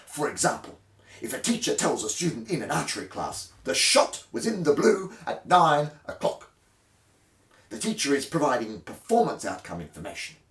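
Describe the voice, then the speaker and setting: A man giving a mock-dramatic reading of a textbook, with hammy emphasis that swells suddenly and drops to a hush. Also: a little high, sing-song, normal speed. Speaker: host of a dramatic reading series. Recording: roomy, distant microphone.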